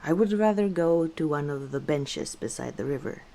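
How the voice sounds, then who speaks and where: Woman playing a low, mellow, teasing orc woman. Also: animated, creaky. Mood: chatty, confiding. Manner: unhurried, playful teasing with frequent chuckles. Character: easygoing, teasing female orc tavern owner. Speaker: ASMR roleplay performer. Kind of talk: ASMR roleplay, one side of a conversation.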